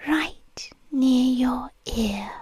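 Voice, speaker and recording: A British woman voicing a possessive, controlling nurse in an ASMR roleplay, speaking in a slow, soft, intimate whisper close to the ear. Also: high-pitched, breathy edge, dry recording. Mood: coaxing.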